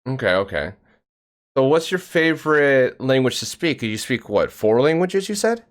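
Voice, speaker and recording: A woman hosting a casual interview, laughing loudly and swearing in surprise. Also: very deep voice, very animated, normal speed. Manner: loud laughing swings, swears. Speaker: podcast host.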